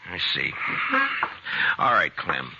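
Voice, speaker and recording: A man acting a gruff, weary but loyal frontier marshal in a 1950s radio drama, with a terse, understated delivery. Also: rumbling low, lively intonation, slow pace, full character voice. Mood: grave, resigned. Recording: muffled old radio recording, clear noise.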